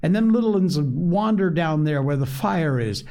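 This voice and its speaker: A man's voice: on the high side, animated, moderate pace, a little mellow, voice pushed up. A man voicing a bossy, hot-tempered older schoolboy who snaps scornfully. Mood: angry. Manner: snapping, scornful.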